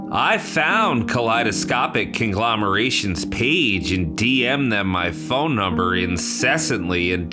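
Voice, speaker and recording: A man playing an indignant, paranoid podcast host, ranting profanely and melodramatically in a scripted comedy podcast. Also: on the low side. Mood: wry narrating. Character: indignant, paranoid male podcast host, foul-mouthed and exasperated.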